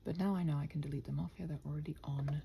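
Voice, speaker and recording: A woman's voice: deep voice, steady tone, racing, gravelly, mellow, a little hiss or hum. A woman in a casual, unscripted ramble, chatting playfully to listeners and muttering to herself in a scattered way as she works. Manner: playful, chatty, talks to her computer. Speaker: ASMR audio creator rambling to listeners.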